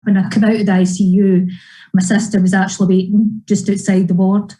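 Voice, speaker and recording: Hesitant woman in her fifties, mellow voice. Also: medium pitch, little pitch movement, rough recording. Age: 50s. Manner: hesitant, slow with pauses. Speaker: patient. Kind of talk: interview.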